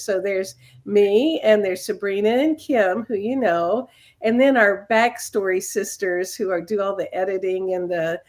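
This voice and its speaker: A woman hosting a podcast, chatting in an upbeat, enthusiastic way as she interviews a guest. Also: mid-range voice. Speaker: podcast host.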